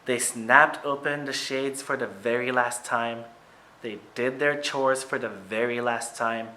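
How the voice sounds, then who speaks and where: A man who is a teacher reading a picture book aloud to children, with expressive, emphatic phrasing and short asides to his listeners. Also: mid-range voice, a little hiss or hum, rough recording.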